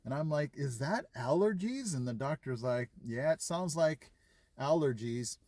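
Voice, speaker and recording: A man's voice: on the high side, lively intonation, unhurried, darkish tone, no room sound. A man chatting casually to his viewers, retelling conversations with lots of fillers. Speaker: vlogger. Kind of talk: casual chat to camera.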